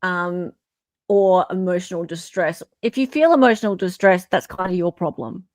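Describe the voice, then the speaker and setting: A woman's voice: on the low side, normal speed, no echo. A woman co-host reading a document aloud informally and adding wry, mocking commentary.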